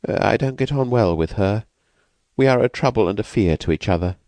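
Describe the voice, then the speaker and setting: A man voicing a frightened, fragile young woman who has escaped from an asylum, speaking softly and hesitantly. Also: lowish voice, lively intonation, brisk, dry recording, voice pushed up. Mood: fearful, wistful. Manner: hesitant, vacant, soft-spoken.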